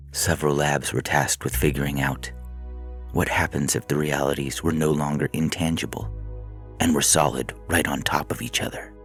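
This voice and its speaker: A man with a deep, husky voice reading a scripted science fiction story as narrator.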